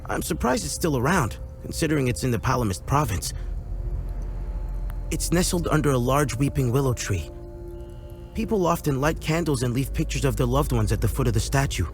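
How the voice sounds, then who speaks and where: An actor playing a guarded, earnest weapons craftsman in a video game, speaking in a measured, reflective way. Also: mid-range voice, animated, soft background music, close to an everyday voice. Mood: informative. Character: guarded, earnest male weapons craftsman, a loyal former apprentice. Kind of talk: video game dialogue acting.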